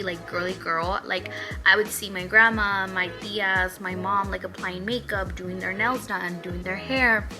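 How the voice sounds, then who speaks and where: A woman in her twenties from Mexico, chatting upbeat and rambling through a get-to-know-me vlog. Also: mid-range voice. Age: 20s. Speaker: hairstylist vlogger. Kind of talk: casual Q&A vlog.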